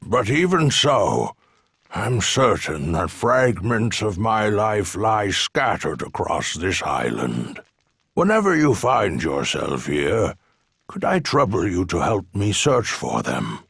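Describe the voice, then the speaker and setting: A gentle old island recluse in a game cutscene. His low voice is gravelly and swings expressively, with a courteous, wistful air. It is recorded close and dry. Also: very animated, slow, no echo, heavily acted. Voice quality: gravelly, husky. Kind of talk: video game cutscene acting.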